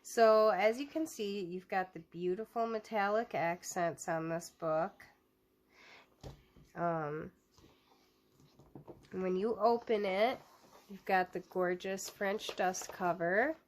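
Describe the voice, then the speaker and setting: Slow-talking woman with a stuffy cold. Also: medium pitch. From United States. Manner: chatty, with frequent ums and restarts. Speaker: coloring book reviewer. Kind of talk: casual book flip-through.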